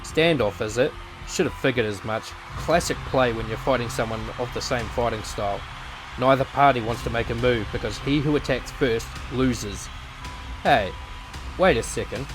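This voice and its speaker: A man playing a shrewd, deadpan know-it-all who analyses fights in a visual novel drama. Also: medium pitch, normal speed, over music, natural voice, poor audio. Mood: dry, pedantic.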